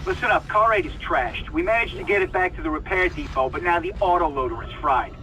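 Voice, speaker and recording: An actor playing a harried male crewman in a sci-fi game, urgent, forceful and rough-spoken. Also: high-pitched, brisk, noisy background, lightly acted. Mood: exasperated. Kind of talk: video game dramatic acting.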